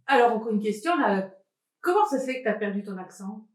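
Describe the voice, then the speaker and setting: A woman interviewing an author, asking unhurried questions that she pauses through with fillers. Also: fairly high pitch, animated, reverberant.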